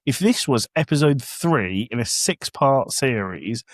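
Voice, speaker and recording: A man's voice: mid-range voice, expressive, a little slow, dry recording, studio quality. A man co-hosting a podcast, giving blunt opinions in casual review chat. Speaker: podcast co-host.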